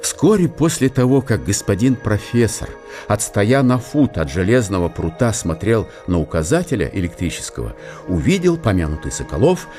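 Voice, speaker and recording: A man's voice: on the low side, big pitch swings, normal speed, dark tone, soft background music. A man giving a scripted literary narration in a radio drama.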